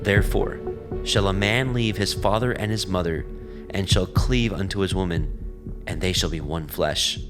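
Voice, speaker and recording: A man narrating scripture in a steady, reverent reading. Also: low-pitched, moderate pace. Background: background music.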